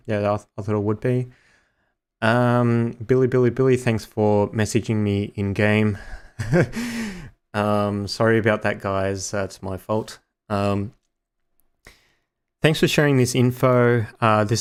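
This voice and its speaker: Slightly hoarse, rambling male streamer. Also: lowish voice. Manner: rambling, many ums and uhs. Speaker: gaming streamer. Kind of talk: casual live-stream chat.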